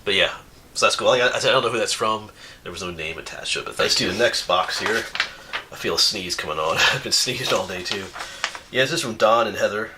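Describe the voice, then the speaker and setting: A man's voice: on the low side, fast talker, sharp tone, slight background noise. A man, sniffly from a cold, chatting casually and rambling as he opens viewer mail at home on camera, laughing often. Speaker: outdoors YouTuber reading viewer mail. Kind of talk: casual chat. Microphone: camera mic.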